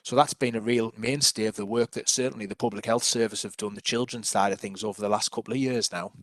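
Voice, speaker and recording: Man, public health officer, low flat delivery, dry sound, hesitant council presentation. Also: quick pace, no echo. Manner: hesitant, many restarts and fillers.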